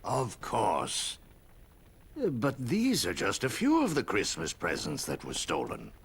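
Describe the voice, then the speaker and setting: An older man playing a dignified, wary but jolly Father Christmas in a cartoon game, measured and grandly expressive. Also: lowish voice, husky, heavily acted. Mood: wary, stern. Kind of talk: video game cartoon voice acting.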